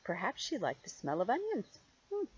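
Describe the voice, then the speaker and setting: A woman's voice: mid-range voice, wildly swooping pitch, normal speed, a little mellow, breathy edge, dull audio. An older woman reads a storybook aloud like a grandmother, expressively and playfully, drawing out key words and adding chatty asides. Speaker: grandmother reading stories to children.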